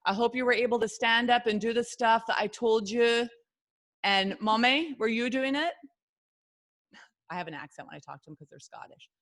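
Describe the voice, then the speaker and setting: A woman's voice: a little high, moderate pace, a bit bright. Chatty middle-aged woman leading an online workshop. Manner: chatty, earnest, digressive. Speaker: yoga therapist and health coach hosting a workshop. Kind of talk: informal online workshop talk. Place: video call.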